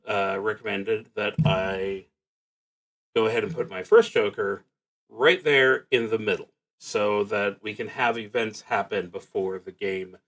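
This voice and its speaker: A man hosting a solo tabletop roleplaying stream, casually explaining rules and haltingly thinking aloud as he reads. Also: medium pitch, fairly bright tone.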